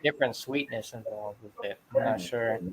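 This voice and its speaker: A man's voice: mid-range voice, normal speed, a loud crowd, rough recording. A man hosting a casual beer livestream panel, talking hesitantly and repeating words as he thinks. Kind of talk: casual group chat. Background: other panelists talking over.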